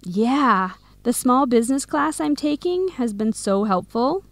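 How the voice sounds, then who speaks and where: Supportive young american gal in radio play, bit high voice, conversational, no room sound. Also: female voice, lively intonation, unhurried, no room sound. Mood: earnest, curious. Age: young adult. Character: supportive, hardworking young American woman, aspiring coffee-shop owner. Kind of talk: scripted educational radio drama dialogue.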